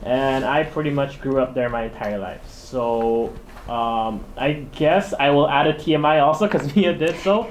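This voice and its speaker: A young man, a university student who grew up in the Philippines, co-hosting a podcast and talking in a chatty, lively way with laughs. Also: mid-range voice, measured pace, roomy sound, a little hiss or hum. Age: young adult. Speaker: podcast co-host. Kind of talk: casual chat.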